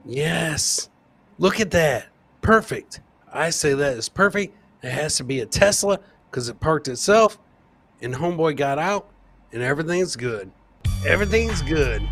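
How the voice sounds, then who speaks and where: A middle-aged man hosts a casual comedy livestream. His voice is bright and forceful, sitting fairly high, and he repeats phrases and swears casually as he riffs. The sound is dry and close-miked. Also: dry recording. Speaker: podcast host and comedian. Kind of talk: casual livestream banter, reading out chat comments. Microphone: close boom-arm microphone with headset.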